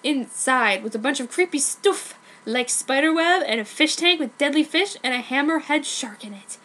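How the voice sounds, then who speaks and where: A woman's voice: high voice, lively intonation, normal speed, fairly bright tone. A woman giving a mock-serious, exaggerated dramatic reading of comic fan fiction. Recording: noticeable background noise, amateur recording.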